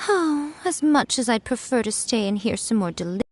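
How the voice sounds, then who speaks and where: A woman's voice: a little high, animated, moderate pace, dry recording, clean studio sound. Game cast: poised, sly noble lady, light voice, game music behind. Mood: courteous. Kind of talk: video game voice acting.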